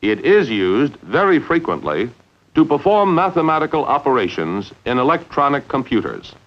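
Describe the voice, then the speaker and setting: An old, slightly muffled film soundtrack carries a man's scripted instructional narration in 1950s style. He speaks at a mid-range pitch with animated intonation, measuring out each phrase and stressing key words deliberately.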